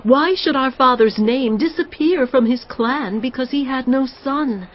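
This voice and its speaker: An actress playing a bereaved daughter making an earnest plea in a dramatised scripture reading. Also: female voice, sits high, measured pace. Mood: earnest pleading. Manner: earnest, pleading. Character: bereaved young daughter pleading for her inheritance. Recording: slightly muffled sound.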